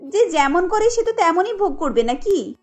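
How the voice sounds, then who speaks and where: A woman's voice: extremely high pitch, big pitch swings, moderate pace, pitched above the performer's own voice. A woman voicing a spoiled, snobbish young rich daughter in a cartoon, complaining in a disdainful, whiny manner. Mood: scornful, argumentative. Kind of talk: cartoon dialogue acting. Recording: light music under the voice.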